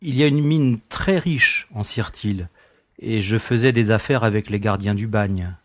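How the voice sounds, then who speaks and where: A man's voice: on the low side, unhurried, no room sound, clean studio sound, lightly acted. An actor playing a sardonic young male space pilot and smuggler in an audio drama, teasing and informal in manner. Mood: grudging, confiding.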